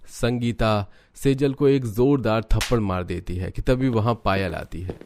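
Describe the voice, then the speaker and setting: A man's voice: lowish voice, unhurried, darkish tone, slight background noise. A man reading scripted narration for a family drama cartoon. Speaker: story narrator.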